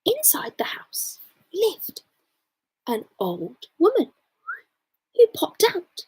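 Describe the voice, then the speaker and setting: A woman tells a children's bedtime story. Her high voice is very animated, moving slowly with drawn-out words and hushed dramatic moments. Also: poor audio. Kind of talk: expressive children's story narration.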